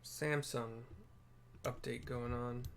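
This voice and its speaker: A man's voice: medium pitch, steady tone, takes it slowly, gravelly, fairly bright tone. A man with a mid-range voice hosts a casual game playthrough. He rambles in offhand asides, with keyboard typing around his voice. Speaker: gamer, channel host.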